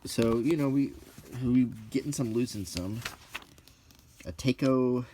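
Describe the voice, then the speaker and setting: A middle-aged man hosting a casual, ASMR-like show-and-tell, reading out names in a halting, list-like way with brief musing asides. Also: medium pitch, dark tone, slight background noise. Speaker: video host.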